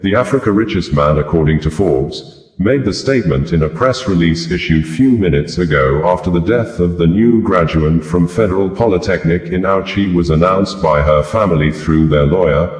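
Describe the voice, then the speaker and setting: Very deep-voiced male news reader. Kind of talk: scripted news narration. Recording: roomy, echoing voice recording.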